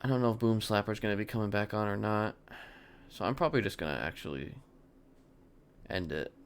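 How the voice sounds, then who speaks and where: A man's voice: fairly low pitch, lively intonation, fairly quick, clear, resonant tone. A man who streams games, chatting casually to his viewers, rambling as he thinks aloud and swearing. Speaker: live game streamer.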